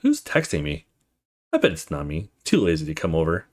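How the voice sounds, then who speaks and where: A man's voice: extremely low pitch, huge pitch swings, brisk, a little hiss or hum. A man hosting a let's play, casually commenting and reading visual novel dialogue aloud in an informal, off-the-cuff way. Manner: informal, sweary, reacts off the cuff.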